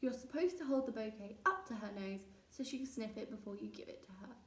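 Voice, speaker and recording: A woman's voice: mid-range voice, expressive, a little fast, a bit bright, lightly acted. A woman reading a children's storybook, voicing a mischievous young boy with a taunting, bossy, mock-authoritative manner. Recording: roomy echo, distant mic.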